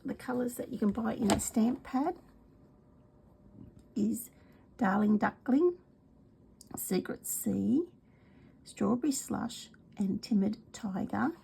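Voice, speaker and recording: A middle-aged Australian woman with a congested, cold-worn voice, chatting casually as she demonstrates craft products, rambling with many ums and often dropping to a soft whisper. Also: on the high side, takes it slowly, creaky, pauses often, a little hiss or hum. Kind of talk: casual craft video chat.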